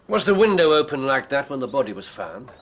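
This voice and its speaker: An actor playing a brisk master detective in a radio drama, precise, dry and faintly superior. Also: male voice, medium pitch, big pitch swings, a bit bright, slight background noise. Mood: brisk, probing.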